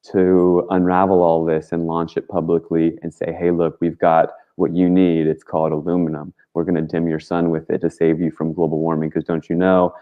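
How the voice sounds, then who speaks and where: A man's voice: low-pitched, level tone, moderate pace, few pauses, phone-quality sound. A man talking as a guest on a talk show, rambling casually and earnestly through his stories in informal, slangy language. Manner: informal and slangy, with frequent "like" and mild swearing.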